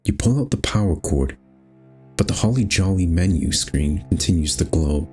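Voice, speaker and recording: A man narrating a visual novel aloud as host, in an expressive, measured storytelling style. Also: low-pitched, breathy edge, faint music behind.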